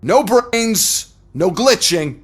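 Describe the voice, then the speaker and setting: A man's voice: high voice, animated, drawn-out words, slightly rough, heavily acted. A man playing a smug, sneering robotics executive in a video game, sarcastic and condescending. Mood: smug, gloating.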